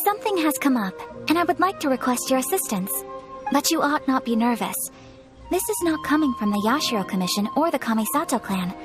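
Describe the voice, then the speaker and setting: A woman voicing a refined, polite young noblewoman in a video game, speaking in formal, measured phrases with earnest reserve. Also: sits high, expressive. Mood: earnest, confiding. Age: young adult. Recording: background music.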